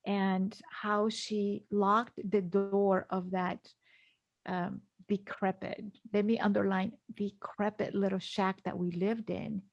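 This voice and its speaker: A middle-aged woman from Guatemala shares personal stories as a podcast guest. She speaks slowly at a medium pitch, earnest and emphatic, often repeating a phrase for weight. The sound is dry, with no room echo. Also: flat delivery, gravelly, lo-fi sound. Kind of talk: personal storytelling in an interview.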